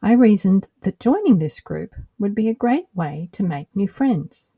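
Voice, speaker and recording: Australian woman, dark husky voice. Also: mid-range voice, animated, measured pace, dry recording, studio quality. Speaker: podcast host. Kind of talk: scripted solo podcast narration.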